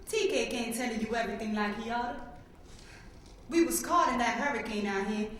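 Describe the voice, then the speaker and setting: A tender, protective Southern wife with a fairly high voice, acted by a teenage girl in a stage reading. The hall is very echoey, with faint noise underneath.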